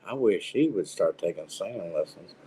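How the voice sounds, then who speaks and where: A man speaking casually as the host of a relaxed conversational show. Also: deep voice, normal speed, breathy edge, rough recording. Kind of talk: casual chat.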